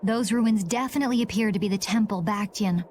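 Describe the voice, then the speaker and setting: An actress playing a sharp young female party member who speaks in a matter-of-fact way, explaining. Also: medium pitch, a little fast, slight background noise, close to an everyday voice. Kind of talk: video game voice acting.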